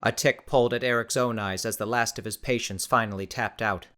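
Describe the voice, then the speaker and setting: A man's voice: fairly low pitch, fairly even intonation, fairly quick, pushed and bright. A man reading scripted, dramatic story narration as an audiobook narrator.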